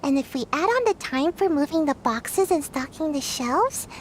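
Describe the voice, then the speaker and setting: A woman playing an excitable, naive little girl in an English-language video game. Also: high voice, normal speed, slight background noise. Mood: excited. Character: excitable childlike little girl, naive, eager and sleepy-headed. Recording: game music clearly playing behind the voice. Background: video game music.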